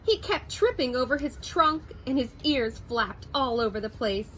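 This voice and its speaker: A woman teacher reading a picture book aloud to her first graders, in a slow, expressive storytelling voice. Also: high voice, a little hiss or hum, rough recording.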